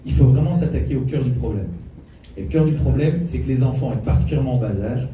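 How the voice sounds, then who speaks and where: A man's voice: medium pitch, fast, mellow. A man giving an impassioned political speech, insistently repeating his key points. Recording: echoey hall from far off, faint noise.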